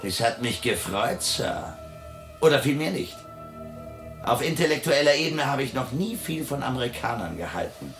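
Haughty, erratic madman, wildly swinging pitch, played by a man. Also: expressive, normal speed, close to an everyday voice. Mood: disdainful. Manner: pompous, mocking, abruptly switching from courtesy to insult. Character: haughty, erratic male asylum patient. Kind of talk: German radio drama acting. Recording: music behind the voice.

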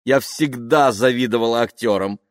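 A man voicing an eager, touchy woman who dreams of acting, in a gushing, expressive storybook manner. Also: mid-range voice, moderate pace, no echo, clean studio sound, pitched above the performer's own voice, close to an everyday voice. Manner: gushing and expressive, easily offended.

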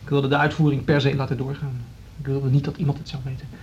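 Actor as weary, hesitant conductor, muffled recording. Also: male voice, little pitch movement, fast talker, darkish tone, natural voice. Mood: regretful, resigned. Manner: hesitant, subdued, earnest. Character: Berend, weary, dutiful male orchestra conductor stepping down. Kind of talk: radio drama acting. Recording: muffled lo-fi recording, faint rumbling noise.

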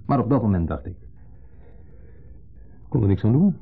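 Muffled phone-like old radio drama, brooding middle-aged ex-husband, low-pitched, wordy, pointedly polite. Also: male voice, sing-song, normal speed, slightly rough, exaggerated voice. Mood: pensive. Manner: wordy, hesitant, formally polite with pointed undertone. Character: wistful, brooding ex-husband, a long-winded dinner guest. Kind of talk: 1970s Dutch radio play acting.

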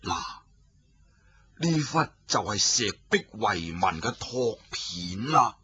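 A man voicing an old male Buddhist abbot who speaks in a slow, solemn, heavy way. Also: lowish voice, very animated, sharp tone, breathy edge, no room sound, pitched below the performer's own voice, exaggerated voice. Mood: grave. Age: older.

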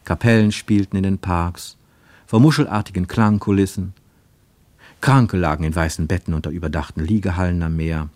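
A man with a low voice narrates a radio travelogue in a measured, wryly ironic tone. The studio sound is dry and close, with no room around him. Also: a little mellow, no room sound. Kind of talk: scripted literary narration; 1950s radio play.

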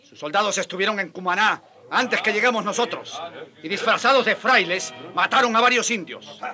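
Bright-voiced, impassioned middle-aged friar. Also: male voice, high-pitched, a little fast, faint music behind. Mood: indignant accusation. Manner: earnest, declamatory. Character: weary, passionate middle-aged friar defending the Indians. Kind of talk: radio drama acting.

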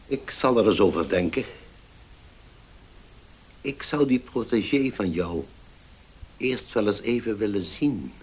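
An older rich dad in a radio drama, low husky voice, indulgent, deliberate. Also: male voice, expressive, full character voice. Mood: cautious. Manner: deliberate, weighing his words. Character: older well-off father, indulgent, gruffly dignified. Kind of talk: Dutch radio play acting.

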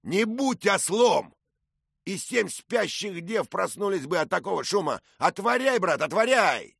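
A man's voice: high-pitched, very animated, unhurried, vocal fry, fairly bright tone, breathy edge, no room sound, clean studio sound, pitched above the performer's own voice, full character voice. A man voicing a blustering, commanding middle-aged knight who bellows orders in an audiobook. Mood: angry.

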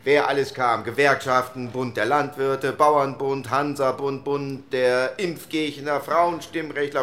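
An actor playing a wry parliamentarian with an anecdotal, rhetorical way of speaking in a dramatized debate. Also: male voice, medium pitch, fairly bright tone, lightly acted. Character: wry, anecdotal male parliamentarian recalling election campaigns.

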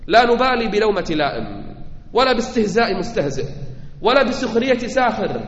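A man's voice: high voice, sing-song, brisk. A man delivering a fervent Islamic sermon in a declamatory, rhetorical manner. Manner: fervent oratory, swinging from declamatory calls to quiet asides. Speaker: preacher giving a Friday sermon. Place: large reverberant indoor hall. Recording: echoey hall, long reverb, slightly dull sound.

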